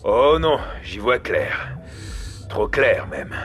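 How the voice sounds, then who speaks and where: Casting: jaded game scientist, lowish but forceful bright voice, sardonic. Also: male voice, very animated, extremely slow, soft background music, heavily acted. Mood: edgy, rueful. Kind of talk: dubbed video game dialogue.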